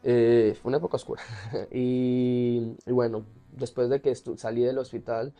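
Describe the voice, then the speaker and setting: Male interview guest, Colombian accent, soft mellow tone, mid pitch, candid and conversational, light music behind. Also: flat delivery, moderate pace. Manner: candid, often laughing as he talks. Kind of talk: casual personal storytelling.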